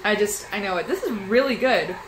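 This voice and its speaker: A woman in her thirties chatting casually as she shows a recipe in her small home kitchen, self-deprecating and quick to laugh, recorded on a phone camera held close. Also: medium pitch, lively intonation, a little hiss or hum, low-quality recording. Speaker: cooking vlogger. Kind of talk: casual cooking vlog chat.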